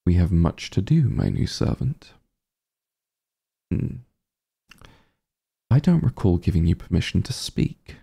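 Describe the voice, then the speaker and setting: Man playing a dark, low-voiced evil king. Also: big pitch swings, gravelly, slightly rough, heavily acted. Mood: haughty, stern. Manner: pompous, condescending, deliberate formal diction. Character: arrogant, menacing evil sorcerer king, haughty and imperious. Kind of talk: ASMR roleplay acting.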